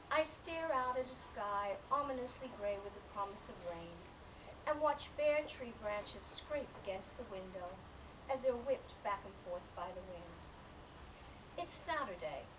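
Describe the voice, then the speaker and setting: A woman reading a first-person literary story aloud as scripted narration, in a measured, expressive way. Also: a little high. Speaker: author reading own novel excerpt. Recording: dull lo-fi, distant mic, loud background noise.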